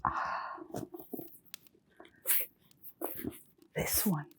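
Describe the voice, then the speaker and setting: A woman playing a kindly, mysterious keeper of a magical old bookshop in a hushed, soothing ASMR storytelling roleplay, pausing often. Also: lowish voice, rough recording. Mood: gentle, musing. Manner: slow, hushed, soothing, with long pauses.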